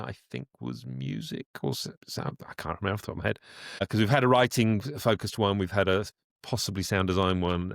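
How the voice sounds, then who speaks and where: A man's voice: medium pitch, no room sound, studio quality. A man talking at length as a guest in a conversational podcast interview, explaining things in a rambling way. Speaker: guest, voice actor and director.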